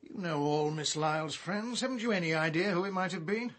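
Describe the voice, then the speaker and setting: An actor playing a shrewd, wry, middle-aged British police detective in a radio drama, with an urbane, wordy manner. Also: male voice, high-pitched, fairly quick, fairly bright tone. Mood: questioning.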